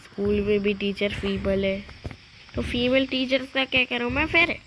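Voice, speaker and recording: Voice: medium pitch, moderate pace, a bit bright. A young adult chatting casually in short, slangy bursts while playing a game, in a very noisy recording. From India. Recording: very noisy background.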